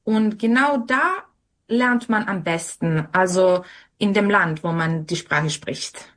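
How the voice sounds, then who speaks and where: Slow-speaking woman. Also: mid-range voice, fairly bright tone. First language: Serbian. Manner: hesitant, searching for words. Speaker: interview guest. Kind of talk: conversational interview answers.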